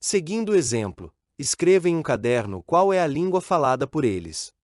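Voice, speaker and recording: A man gives clear course instructions. His voice is fairly high, and his pitch moves a lot. The recording is dry and close. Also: big pitch swings, quick pace, a little mellow, dry recording. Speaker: course narrator. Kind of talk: scripted instructions.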